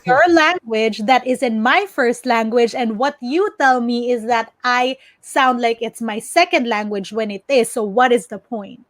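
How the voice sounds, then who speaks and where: A woman's voice: fairly high pitch, unhurried, hardly any pauses, no echo. A woman from the Philippines, chatting as an outspoken, emphatic podcast co-host. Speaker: podcast co-host and tech content creator. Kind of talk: casual opinionated chat.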